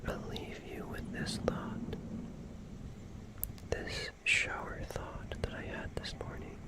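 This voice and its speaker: An ASMR streamer whispering a musing monologue in halting, drawn-out phrases.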